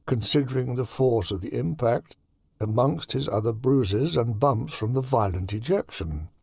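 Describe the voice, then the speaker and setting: A man narrating a horror audiobook in a deliberate, dramatic storytelling style. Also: medium pitch, expressive, gravelly, dark tone, no room sound, studio quality.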